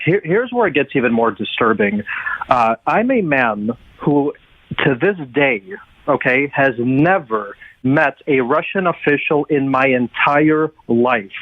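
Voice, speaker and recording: A man's voice: medium pitch, animated, measured pace, no echo. Man, guest, urgent, insistent, mid voice, dry, tinny phone line. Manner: urgent, insistent, repeats "okay?". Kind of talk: interview talk.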